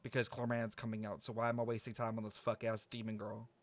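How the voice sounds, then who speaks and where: A man's voice: lowish voice, completely flat delivery, fast, dry recording. A man streaming a game with casual live commentary, blunt, sweary and dramatic in a sassy way. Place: close, dry microphone on a live stream.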